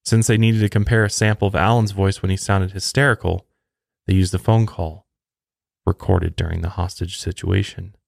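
A man's voice: low voice, steady tone, normal speed, vocal fry, dry recording, studio quality. A man hosting a true crime podcast, reading a scripted narration.

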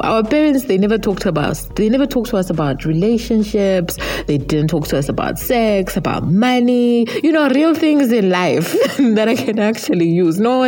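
A woman's voice: mid-range voice, a little mellow. A woman in her thirties from Zambia, hosting a podcast in an upbeat, chatty way and laughing easily. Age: 30s. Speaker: podcast host. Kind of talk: podcast intro and interview.

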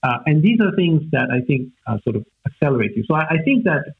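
Raspy, gravelly man on a tinny line. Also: fairly high pitch, big pitch swings, fast. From Austria. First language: German. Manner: hesitant, many fillers and "right?" tags. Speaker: guest. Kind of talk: reflective conversation.

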